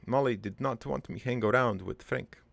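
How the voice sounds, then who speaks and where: A man playing a crude but wistful old dead father in a cartoon, rambling through his life story in a halting, gravelly, improvised monologue. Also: medium pitch, dry recording. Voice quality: gravelly, creaky.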